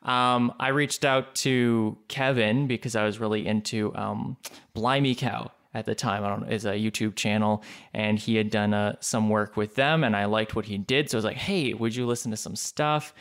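A man from Canada speaking as a podcast guest, casual and halting as he thinks aloud. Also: fairly low pitch, fairly even intonation, a bit bright, clear, resonant tone. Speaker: podcast guest, audio drama producer. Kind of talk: casual interview chat.

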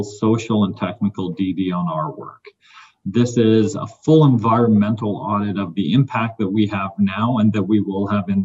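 A man speaking as a mining company executive on a panel, measured and businesslike. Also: fairly low pitch, dull audio. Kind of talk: panel discussion.

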